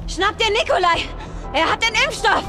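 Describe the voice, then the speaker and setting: A woman's voice: very high voice, animated, normal speed. A woman playing a tough, combative young action heroine in an audio drama, gritty and defiant. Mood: urgent. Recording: loud music over the voice. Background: loud dramatic music.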